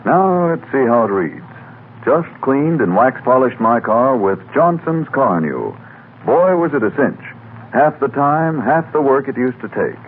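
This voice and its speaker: A man reading a 1940s radio commercial in a chatty, lively manner. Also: medium pitch, a little slow, narrow, tinny audio. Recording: thin 1940s radio with faint noise.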